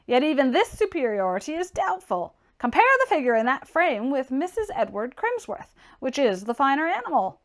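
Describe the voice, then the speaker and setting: A woman's voice: high-pitched, very animated, normal speed, few pauses. A woman voicing a blunt, opinionated, scornful young tradesman in an audiobook, with a brusque, mocking delivery and emphatic exclamations. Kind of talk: dramatized audiobook character reading.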